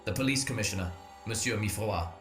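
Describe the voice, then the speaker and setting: A man's voice: lowish voice, quick pace, lightly acted. A man playing an earnest, desperate young French nobleman in an audio drama, formal and urgent in his speech. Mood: desperate, pleading. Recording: echoey, roomy sound with music.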